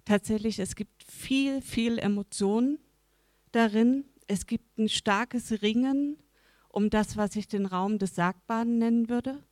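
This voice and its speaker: A woman speaking as a panel guest, measured and thoughtful, with some fillers. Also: a little high, darkish tone, no room sound. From Dresden, Germany. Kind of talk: panel discussion.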